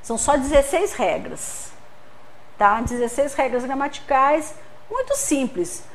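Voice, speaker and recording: Woman from Brazil, high voice, lecturing, patient explanations, checks in with listeners. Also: very animated, measured pace, slight background noise. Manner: explains patiently, uses homely examples, checks with "tá?". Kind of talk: spiritist center lecture.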